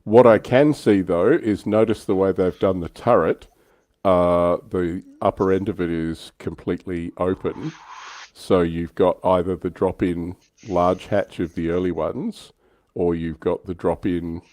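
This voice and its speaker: Male hobby streamer, low-pitched, close dry sound, conversational panellist delivery. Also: steady tone, a little slow, darkish tone, no room sound. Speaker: livestream panellist on a tabletop wargaming show. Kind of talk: casual hobby discussion.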